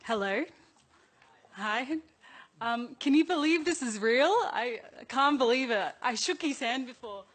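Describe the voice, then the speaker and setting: A woman's voice: on the high side, lively intonation, a little slow, a bit bright. A young adult woman with an Australian accent, delivering a prepared speech to an assembly as a youth delegate in an earnest, personal manner.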